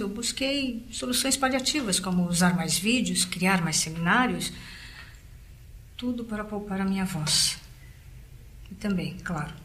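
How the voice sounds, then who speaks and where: Actress as anxious teacher, confiding and worried; roomy, echoing sound. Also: female voice, mid-range voice, fairly bright tone, lightly acted. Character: anxious female teacher troubled about her voice. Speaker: teacher as a patient. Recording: roomy echo.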